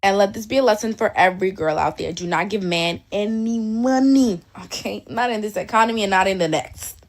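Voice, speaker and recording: A woman's voice: medium pitch, a little fast. A woman from Denmark telling a casual storytime in a candid, gossipy and emphatic way. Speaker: storyteller in a played clip.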